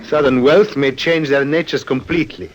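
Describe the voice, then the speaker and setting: An actor playing a crafty circus clown and smuggler in an old radio drama, sly and theatrical. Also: male voice, mid-range voice, fairly even intonation, moderate pace, muffled recording, slight background noise, close to an everyday voice. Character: crafty male circus clown, proud emerald smuggler, father.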